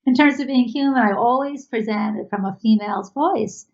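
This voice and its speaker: A woman giving reflective, halting interview answers as an artist, recorded over an internet video call. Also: on the high side, a little mellow, dull audio.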